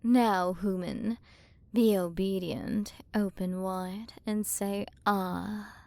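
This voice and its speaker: A woman's voice: mid-range voice, fairly even intonation, darkish tone, dry recording. A woman playing a haughty, possessive alien galaxy queen in an ASMR roleplay, condescending and flirtatious as she toys with the listener. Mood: boastful, indulgent. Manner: condescending, flirtatious, drawn-out delivery with breathy drops into whispers. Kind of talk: ASMR roleplay, scripted character acting.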